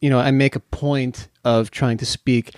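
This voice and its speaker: A man co-hosting a podcast, rambling and self-mocking in casual chat, with a low, mumbly speaking voice and many restarts. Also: fairly even intonation, a little slow, darkish tone, dry recording, clean studio sound. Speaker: podcast co-host.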